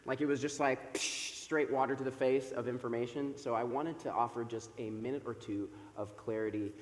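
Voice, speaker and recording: A man in his thirties preaches to a congregation from the pulpit, his loud voice carried by a microphone. He talks in a chatty way, pausing on fillers. The sanctuary gives his voice a long, roomy echo. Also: mid-range voice, steady tone. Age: 30s. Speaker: pastor. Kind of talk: sermon. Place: church sanctuary. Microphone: microphone over a PA system. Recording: echoey church hall with long reverb.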